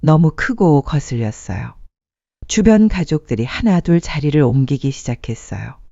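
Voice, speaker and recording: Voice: mid-range voice, expressive, moderate pace, vocal fry, warm tone, dry recording. A narrator reading a scripted dramatic story as a storyteller. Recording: muffled dry studio sound.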